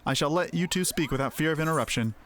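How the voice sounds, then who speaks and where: A man's voice: a little high, fast talker, a bit bright, natural voice. A man voicing a courteous young noble messenger from a fantasy game, in polite, archaic phrasing. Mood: courteous, formal. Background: faint music.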